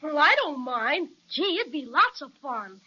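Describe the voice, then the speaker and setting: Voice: high-pitched, sing-song, measured pace, bright, forceful tone, full character voice. An actor playing an eager, plucky young boy with a gee-whiz, earnest manner in an old children's radio serial. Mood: excited. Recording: dull, band-limited vintage radio recording.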